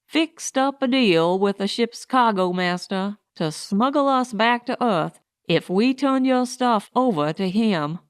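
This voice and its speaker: A woman narrator plays a fat male crook in a pulp space audiobook. He is talkative, grumbling and resigned, heard in a clear, dry voice. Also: medium pitch, expressive, a little slow, hardly any pauses, no room sound, close to an everyday voice. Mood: matter-of-fact. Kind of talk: audiobook character voice.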